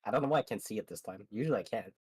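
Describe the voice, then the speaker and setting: Husky, deep-voiced sweary man chatting. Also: lively intonation, racing, darkish tone, no echo. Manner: rambling, sweary. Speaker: host of a group gameplay stream. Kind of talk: casual gaming chat with friends.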